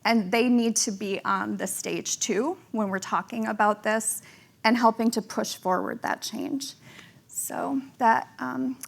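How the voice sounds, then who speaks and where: A woman's voice: fairly high pitch, steady tone, measured pace, vocal fry. A woman panelist and editor, speaking earnestly and candidly. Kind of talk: panel discussion.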